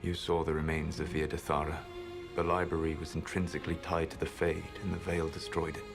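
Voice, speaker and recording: An actor playing an ancient, secretive male elf mage who speaks in a measured, grave and regretful way. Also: very deep voice, completely flat delivery, gravelly. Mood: solemn. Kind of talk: video game voice acting. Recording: game audio with music score.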